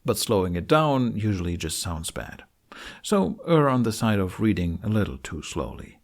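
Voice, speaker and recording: Low-voiced man with gravelly fry, explaining. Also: big pitch swings, moderate pace. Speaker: tutorial host. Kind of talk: instructional narration for a how-to video.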